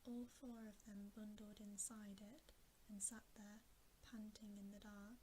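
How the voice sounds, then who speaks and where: A woman reading a story in ASMR style, in a breathy, unvoiced whisper with a gentle, measured delivery. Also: mid-range voice, level tone. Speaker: narrator of bedtime stories. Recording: faint background hiss.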